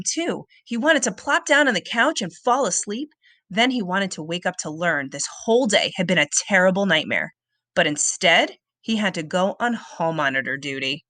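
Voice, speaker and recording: A woman reading a children's book aloud as a storyteller, with expressive, dramatic and suspenseful delivery. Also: on the low side, dry recording.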